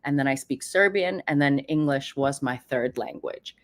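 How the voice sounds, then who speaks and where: A woman whose first language is Persian, hosting a livestream in a chatty, animated way, reading viewer comments and laughing often. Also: rumbling low, normal speed. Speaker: livestream host.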